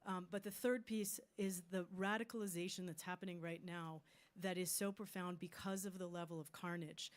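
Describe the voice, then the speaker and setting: A woman's voice: fairly low pitch, fairly even intonation, normal speed. A woman speaking earnestly on a panel, with hesitant restarts. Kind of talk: panel discussion.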